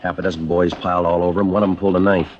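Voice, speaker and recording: An actor with an American accent playing a terse, world-weary police sergeant in a 1950s radio crime drama, clipped and matter-of-fact. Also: male voice, low-pitched, little pitch movement, rapid-fire, background noise, lightly acted. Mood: businesslike, grim. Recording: muffled old radio recording with music behind.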